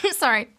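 A woman, speaking informally and with a giggly manner in an interview as a singing contestant. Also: heavy background noise. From Sheffield, England. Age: 20s. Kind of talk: casual interview. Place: TV studio set. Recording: music playing behind the voice.

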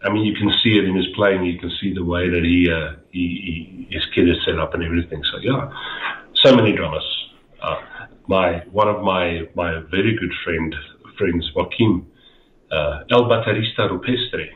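A man's voice: low voice, normal speed, a little hiss or hum. A middle-aged man with an Afrikaans accent, speaking as a guest in a casual interview over a video call, rambling and often repeating his words. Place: dim home room. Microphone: headset on a video call. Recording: echoey tinny video-call audio.

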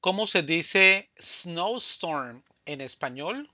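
Male language teacher running a slow drill, bright tone, dull lo-fi dry recording. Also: on the high side, animated, no room sound. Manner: slow, deliberate, says each answer twice. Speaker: Spanish teacher. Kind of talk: scripted vocabulary drill.

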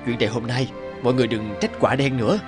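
A man voicing a kindly, protective owl leader in an animated fable, with a gentle, reassuring and measured manner. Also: mid-range voice, over music, natural voice. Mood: forgiving.

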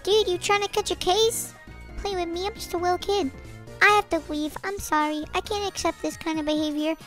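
Squeaky voice-changed little kid gamer. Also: male voice, steady tone, fairly quick, fairly bright tone, faint music behind. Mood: whiny. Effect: pitch-raising voice changer. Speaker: video game streamer. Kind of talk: excited multiplayer game chatter.

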